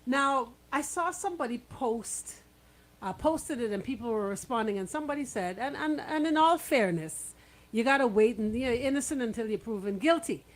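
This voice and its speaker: A high-pitched woman with a Caribbean accent talks as a radio host. She is blunt and indignant, pressing her points with rhetorical questions in loose, conversational speech. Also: expressive, normal speed, poor audio. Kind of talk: casual talk radio discussion.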